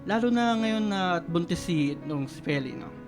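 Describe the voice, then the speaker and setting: An exasperated older father in a Filipino radio drama. His voice is unusually high for a man, scolding and halting, with music playing behind him. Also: animated. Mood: weary, decisive. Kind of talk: Filipino radio melodrama acting.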